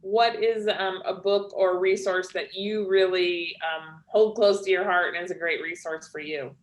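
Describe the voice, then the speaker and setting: Hesitant woman moderator, lowish voice. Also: steady tone, measured pace, reverberant. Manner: polite, hesitant, many ums.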